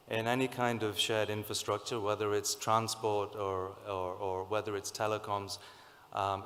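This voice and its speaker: Croaky-voiced man in a reverberant hall. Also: lowish voice, a bit bright. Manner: halting, many fillers and restarts. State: sore throat, croaky. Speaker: conference speaker. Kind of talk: technical presentation. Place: conference hall.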